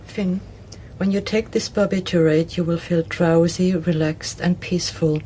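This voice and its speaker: A woman acting a composed clinic worker in a radio drama. She has a dark, mellow, lowish voice and speaks softly and with clinical measure. Faint ambience sits behind her. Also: steady tone, a little slow, slight background noise, natural voice, rough recording. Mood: clinical, calm. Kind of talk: radio play acting, procedural explanation.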